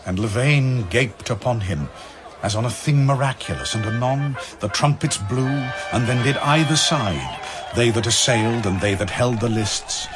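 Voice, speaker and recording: A man's voice: mid-range voice, normal speed, a crowd in the background. A man narrating epic verse as a scripted drama, in a grand, theatrical storytelling manner. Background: music.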